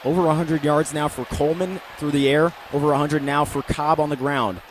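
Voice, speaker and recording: A man's voice: fairly high pitch, steady tone, fairly quick. An American man calling football play-by-play for radio in clipped, descriptive announcer phrasing. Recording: loud stadium and outdoor ambient noise.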